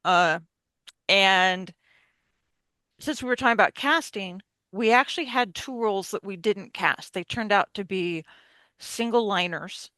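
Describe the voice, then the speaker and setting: A woman's voice: medium pitch, measured pace, sharp tone, dry recording. A woman guest chatting casually on a podcast, recounting things emphatically and acting out bits of remembered conversation. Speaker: podcast guest, radio play narrator.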